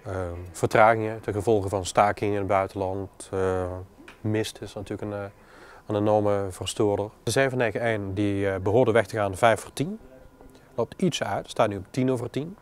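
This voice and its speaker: Low-voiced Dutch gate planner man. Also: moderate pace. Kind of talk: documentary interview and work talk. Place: glass-walled airport control tower office.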